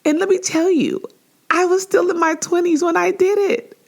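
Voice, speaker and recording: A woman in her forties hosting her own podcast in a casual monologue, chatty and animated, and often jumping much higher in pitch when she acts out something someone said. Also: moderate pace, creaky. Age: 40s. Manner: laughs easily, with frequent filler words and restarts. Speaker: podcast host.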